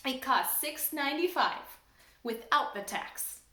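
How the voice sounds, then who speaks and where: A woman's voice: high-pitched, animated, measured pace, pushed and bright, heavily acted. Woman doing a prim, bragging rich girl. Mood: boastful. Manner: prim, boastful and repetitive. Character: rich show-off girl bragging about her charms. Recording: roomy echo.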